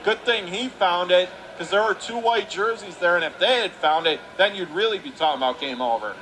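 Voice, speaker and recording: A male play-by-play hockey announcer with a fairly high voice. He calls the action urgently in short, clipped phrases. Clear arena noise runs behind him throughout. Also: brisk, pushed and bright. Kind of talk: live hockey commentary. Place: indoor ice hockey arena. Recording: clearly noisy live rink recording.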